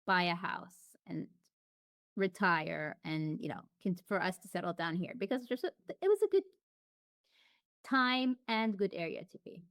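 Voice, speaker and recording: A woman's voice: on the low side, lively intonation, a little slow, a bit bright, no room sound, studio quality. A woman as an interview guest, talking casually and reflectively with frequent restarts and fillers. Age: adult.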